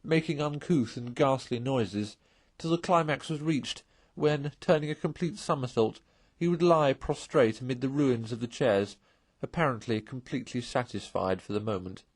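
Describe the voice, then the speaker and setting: A man's voice: mid-range voice, normal speed, hardly any pauses, no echo. Male storybook narrator, close and dry. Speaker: audiobook narrator. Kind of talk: storybook read-aloud narration.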